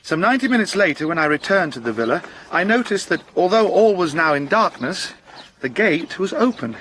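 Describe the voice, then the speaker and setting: Humble police sergeant, earnest, deferential, slightly high voice, faint hiss. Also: male voice, big pitch swings, fairly quick, fairly bright tone, rough recording. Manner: deferential, careful storytelling. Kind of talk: radio drama acting.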